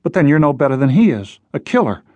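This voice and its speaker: A man's voice: mid-range voice, sing-song, quick pace, no echo, dull audio, pitched above the performer's own voice. A man voicing a bitter, defiant young woman on the run in an audiobook. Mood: alarmed, defiant. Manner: cold, bitter, accusing. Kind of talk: audiobook character voice.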